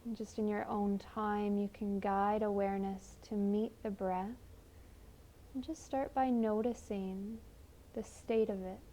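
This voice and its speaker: Slow, mellow woman guiding meditation. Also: mid-range voice, fairly even intonation, slight background noise. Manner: gentle, soothing, unhurried, with long pauses. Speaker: meditation guide. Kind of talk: guided meditation.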